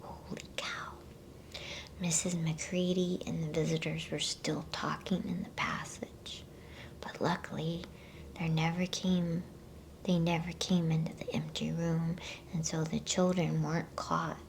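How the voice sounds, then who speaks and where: A woman reading a bedtime story as ASMR in a soft, breathy, hushed whisper, unhurried and close to the microphone. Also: low voice, little pitch movement, slight background noise. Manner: hushed, unhurried, with playful asides.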